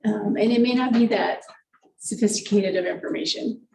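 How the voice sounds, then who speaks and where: Quiet hesitant board member lady, distant mic, roomy meeting hall, light hiss. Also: mid-range voice, moderate pace, vocal fry. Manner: soft-spoken, hesitant. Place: large meeting room. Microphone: desk microphone from afar. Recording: echoey room, distant mic, faint hiss.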